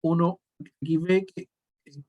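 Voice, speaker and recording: Higher-voiced male teacher. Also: fairly even intonation, unhurried, darkish tone, dull audio. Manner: step-by-step explaining, frequent self-corrections and restarts. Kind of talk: screen-share software tutorial.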